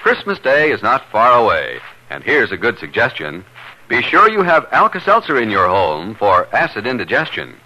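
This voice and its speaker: A man's voice: mid-range voice, wildly swooping pitch, moderate pace, sharp tone, highs cut off. A man announcing in a polished, declamatory 1950s radio style, reading scripted narration and sponsor messages.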